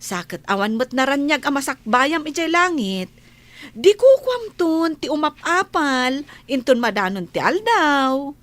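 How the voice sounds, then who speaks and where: A woman's voice: sits high, very animated, unhurried, a bit bright, no echo, exaggerated voice. A woman with an Ilocano accent acting an outspoken, devout village woman who scolds gossips in a radio comedy-drama, speaking in a preachy, emphatic way. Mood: preachy, scolding.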